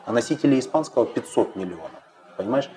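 A man's voice: mid-range voice, expressive, fast, dark tone. A man in his fifties hosting an interview, asking direct, probing questions, recorded on a lapel mic in a large indoor cafe. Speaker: host.

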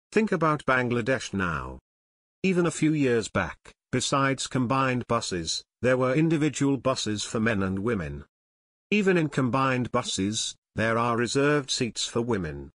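A man narrating a scripted English lesson, reading slide text slowly and evenly as the channel's host. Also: mid-range voice, fairly bright tone, no echo, studio quality. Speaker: English teacher.

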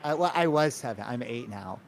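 A man's voice: mid-range voice, lively intonation, a little fast, vocal fry, slight background noise, voice pushed down. A man voicing a seven-year-old boy in a prank call, insisting in simple, repetitive childlike phrases. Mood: childishly eager. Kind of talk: prank phone call character.

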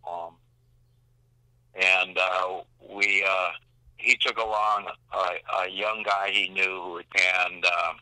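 An older man recalling an expedition in a casual interview, speaking in a halting, unhurried way. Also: low voice, level tone, pushed and bright, no room sound, rough recording. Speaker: expedition veteran being interviewed. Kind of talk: casual reminiscence.

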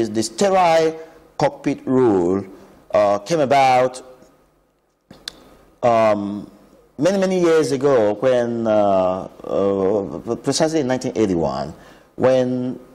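A man's voice: fairly low pitch, warm tone, in a large hall. A man, an aviation expert explaining earnestly on a TV show, often repeating words. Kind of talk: explanatory TV interview.

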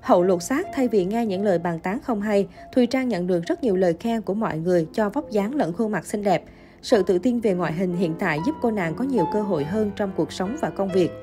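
Mellow-voiced woman narrating news. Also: mid-range voice, fairly quick, faint music behind.

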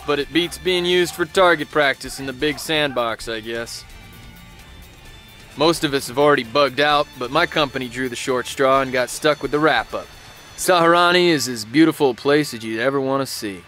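An actor playing a cynical young US Army private with an American accent, narrating in a wry, profane, slangy way. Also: male voice, medium pitch, pushed and bright, natural voice. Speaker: soldier narrator. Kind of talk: dramatic first-person game narration. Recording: music behind the voice.

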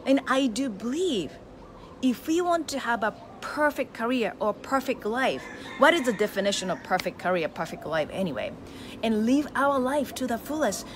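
A middle-aged Japanese woman with a Japanese accent, talking live to followers on a phone held at arm's length on an outdoor veranda, animated and asking her listeners questions. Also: a little high, a little hiss or hum. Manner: animated, exclaims, gestures with hands, asks listeners questions. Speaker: face yoga teacher. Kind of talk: live motivational talk to followers.

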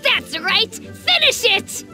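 A woman as an earnest little boy, very high-pitched. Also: very animated, takes it slowly, exaggerated voice. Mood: gleeful, boastful. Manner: wide-eyed, earnest. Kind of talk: video game voice acting. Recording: game music behind.

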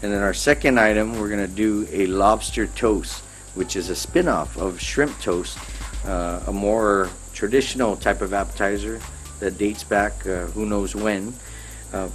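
Low-voiced middle-aged man cooking outdoors. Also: moderate pace. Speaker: chef and cooking show host. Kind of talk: casual cooking demonstration. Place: outdoor patio at night.

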